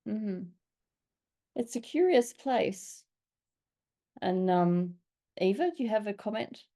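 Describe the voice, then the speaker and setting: Woman facilitator, mellow and gravelly, who asks probing questions. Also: medium pitch, expressive, takes it slowly. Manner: probing questions, brief affirmations. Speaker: discussion facilitator.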